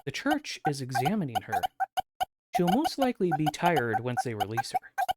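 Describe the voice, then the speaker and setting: A woman voicing a gentle, earnest young ghost girl who acts mature, in a visual novel read-aloud. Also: low-pitched, huge pitch swings, normal speed, pitched below the performer's own voice. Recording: loud background game music.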